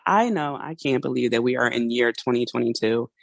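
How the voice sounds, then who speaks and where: A woman hosting a casual solo podcast, chatty and a little hoarse, laughing now and then and acting out small quoted asides. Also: very deep voice, a little fast, no room sound, clean studio sound. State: slightly hoarse. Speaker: podcast host.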